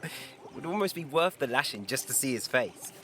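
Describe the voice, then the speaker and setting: A man's voice: mid-range voice, lively intonation, a little fast, bright, forceful tone, a little hiss or hum. A man playing a cheeky but guarded young servant boy in an audio drama.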